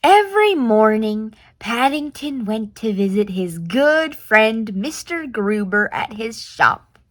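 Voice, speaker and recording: Animated woman reading a children's story slowly. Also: on the high side, dry recording, clean studio sound. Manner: animated, deliberate, with playful reactions. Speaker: storyteller reading a picture book aloud. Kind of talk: expressive children's read-aloud with asides.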